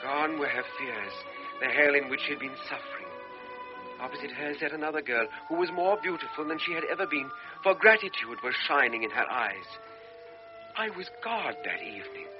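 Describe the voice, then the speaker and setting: A man plays a young officer torn between pity and guilt, speaking in a fairly high, earnest voice that hesitates and turns inward. It has the dull sound of an old radio drama with music. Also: natural voice. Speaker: narrator and lead character.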